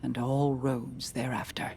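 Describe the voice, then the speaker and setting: Solemn, guarded arcane strategist. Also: female voice, very deep voice, level tone, darkish tone, a little hiss or hum, exaggerated voice. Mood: grave, guarded. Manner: formal, deliberate, grave. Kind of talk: video game voice acting.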